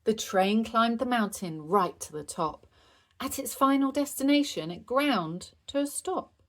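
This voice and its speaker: A woman's voice: a little high, lively intonation, unhurried. A woman reading a rhyming children's story aloud with expression. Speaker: storybook reader.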